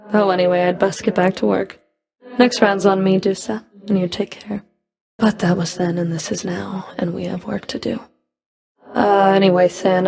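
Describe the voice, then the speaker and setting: A woman playing a stern, scornful immortal underworld enforcer in a video game, speaking in a cold, curt, guarded way with a gravelly edge. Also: mid-range voice, a little mellow, lightly acted. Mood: wry, casual, then guarded, formal. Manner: cold, curt, disdainful, deliberate threats.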